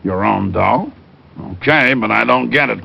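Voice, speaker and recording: A man playing a gruff, businesslike police lieutenant in an old radio detective drama, curt and no-nonsense. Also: mid-range voice, measured pace, sharp tone, heavily acted. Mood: intent, puzzled. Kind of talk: 1940s-50s radio detective drama acting. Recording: muffled old radio recording.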